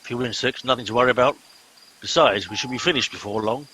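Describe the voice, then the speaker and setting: A man plays a pompous captain in a radio comedy, officious and impatient. The voice is forceful and mid-pitched, with faint noise behind it. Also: fast, close to an everyday voice, poor audio. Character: pompous, impatient male captain of bumbling crew. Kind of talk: comic radio play acting.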